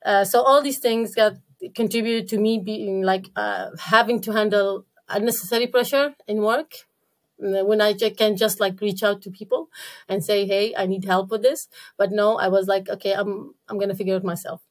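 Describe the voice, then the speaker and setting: A woman from Iraq, a podcast guest, talking casually and haltingly with many fillers and restarts. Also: medium pitch, steady tone. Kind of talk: casual interview talk.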